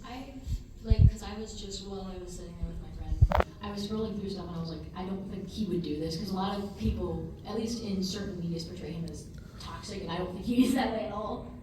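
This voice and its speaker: A woman's voice: medium pitch, quick pace. A woman, a fan at a panel, asking an earnest, slightly hesitant question. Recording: echoey hall, distant mic, noise.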